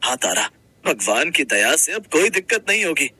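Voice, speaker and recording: A man playing a simple, humble working husband, gentle, earnest and a little hesitant, in a Hindi moral-story cartoon. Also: on the high side, big pitch swings, normal speed, slightly rough, heavily acted. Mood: relieved.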